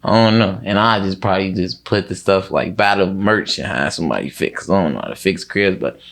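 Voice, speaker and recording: A man's voice: deep voice, a little fast, gravelly, fairly bright tone. A man talking casually as an interview guest, in a rambling, repetitive, slangy way with frequent swearing.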